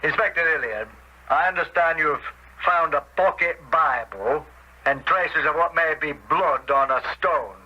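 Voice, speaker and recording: An actor playing an official coroner presiding over an inquest in a radio drama, formal and dry. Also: male voice, a little high, measured pace, husky, highs cut off. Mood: formal, probing. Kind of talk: 1970s radio drama acting.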